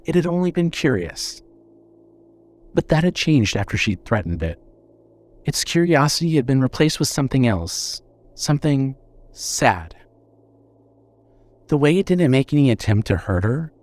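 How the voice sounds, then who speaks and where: A man's voice: medium pitch, darkish tone. A man narrating scripted horror fiction in a dramatic, suspenseful storytelling manner.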